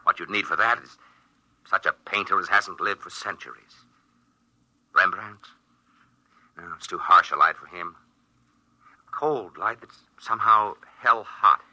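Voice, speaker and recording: An actor playing a thoughtful male astronaut who narrates his moon landing in a 1950s radio drama, earnest and halting. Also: deep voice, pushed and bright, no room sound, heavily acted, low-quality recording. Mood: musing. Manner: earnest, reflective, halting with small stammers. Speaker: narrator and crewman.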